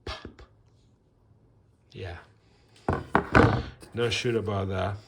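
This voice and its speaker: Slow, deep-voiced man with Mexican accent. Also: fairly even intonation, gravelly, very noisy. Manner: rambling, dry self-mocking humor, frequent fillers. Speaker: woodworking channel host. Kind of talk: casual unboxing and product review. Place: home woodworking shop.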